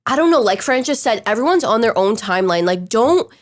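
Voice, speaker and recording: A woman's voice: a little high, expressive, fairly quick. A woman in her twenties with a stuffy, nasal voice, chatting frankly and rambling a little. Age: 20s. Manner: rambling, frank, searching for words. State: stuffy nose. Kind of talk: casual chat.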